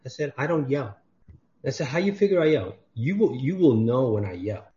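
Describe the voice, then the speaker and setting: A man's voice: medium pitch, normal speed. A man on a podcast, chatting casually and acting out the dialogue in his stories. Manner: animated storyteller, acts out dialogue. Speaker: podcast guest. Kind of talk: casual chat. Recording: dull lo-fi audio.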